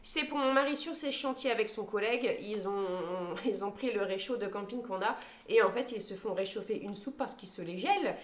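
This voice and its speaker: A woman's voice: medium pitch, moderate pace, hardly any pauses, echoey room. A woman in her thirties chatting casually to a phone camera at home, listing her grocery haul item by item with many hesitation fillers. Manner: chatty, lots of hesitation fillers, lists items one by one.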